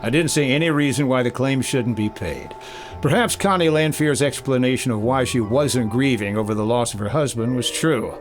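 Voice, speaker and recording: A man playing a polite, probing, skeptical insurance investigator in an old-time radio detective drama, measured and wry. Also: medium pitch, soft background music, lightly acted. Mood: suspicious, wry. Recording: clear background noise and ambience.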